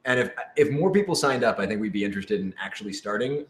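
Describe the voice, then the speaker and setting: A man with a lowish voice chats in an echoey room. His manner is informal and playful, chipping in readily during a casual Q&A among a production team. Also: animated, fast talker. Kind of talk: casual group Q&A chat. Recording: roomy, echoey sound.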